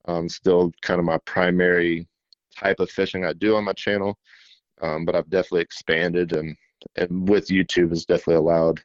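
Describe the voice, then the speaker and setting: A shy young man from middle Tennessee, a fishing YouTuber, answering interview questions in a self-described monotone with many fillers. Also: sits low, brisk, no echo, muffled recording. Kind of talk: casual interview.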